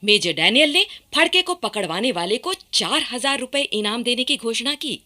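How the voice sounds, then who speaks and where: Bright, lively woman narrating radio history. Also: on the high side, normal speed. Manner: formal, dramatic storytelling. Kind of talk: scripted radio drama narration.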